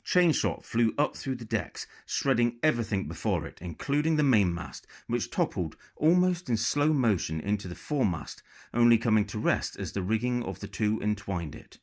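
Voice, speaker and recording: Male narrator, deep-leaning voice, lively intonation, measured, dramatic, scripted adventure storytelling. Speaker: author reading own novel.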